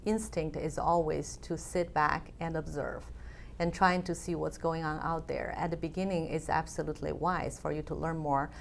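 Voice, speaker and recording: Low, gravelly woman. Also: fairly even intonation, slight background noise. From China. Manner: thoughtful, measured, frequent fillers. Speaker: interview guest, alumna. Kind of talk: conversational interview.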